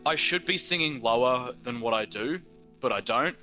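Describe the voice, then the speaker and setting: A man's voice: mid-range voice, animated, moderate pace, sharp tone, lo-fi sound, faint music behind. An eighteen-year-old Australian man chatting casually as a guest, rambling with lots of fillers and restarts. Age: teens. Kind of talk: casual chat.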